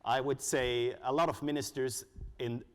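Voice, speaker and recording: A man with a German accent giving a conference presentation, measured and full of "uh" fillers. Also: medium pitch, steady tone, clear, resonant tone. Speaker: conference speaker. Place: conference hall. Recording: long reverb from a large hall.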